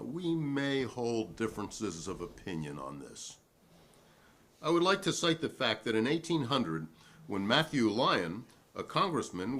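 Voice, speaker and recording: A man's voice: fairly low pitch, fairly bright tone, a little hiss or hum. A man reading the part of a defiant, principled witness at a 1950s congressional hearing, speaking formally and emphatically in a dramatic reading. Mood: firm, principled. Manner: emphatic, formal, deliberate repetition.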